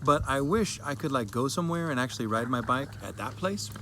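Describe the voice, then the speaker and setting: A man's voice: medium pitch, expressive, brisk, close to an everyday voice. A man voicing a sad, earnest young girl fed up with quarantine, reading her story dramatically in an emphatic, childlike way. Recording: clearly noisy background.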